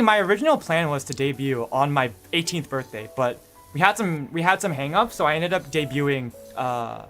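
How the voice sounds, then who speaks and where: A man's voice: mid-range voice, fairly bright tone. A young man streaming, chatting casually in a rambling, self-correcting way with lots of fillers. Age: young adult. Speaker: VTuber streamer.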